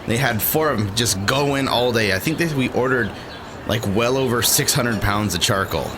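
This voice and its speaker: A man telling a lively anecdote in casual chat, acting out the people in it and laughing often. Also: mid-range voice, normal speed. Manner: animated, acts out quoted voices, laughs often. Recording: noisy background.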